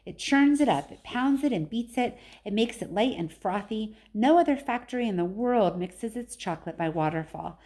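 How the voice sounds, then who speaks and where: A woman's voice: mid-range voice, animated, moderate pace, few pauses, a little mellow. A woman reading a storybook voices an eccentric, boastful male factory owner, exclaiming emphatically and repeating phrases. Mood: delighted, eager.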